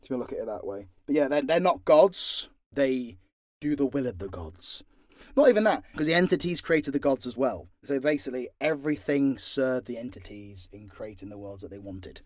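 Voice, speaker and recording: British man with a very animated pitch. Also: normal speed, no room sound, studio quality. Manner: frequent swearing, blunt and joking. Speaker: voice actor and content creator answering fan questions. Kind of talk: casual unscripted Q&A.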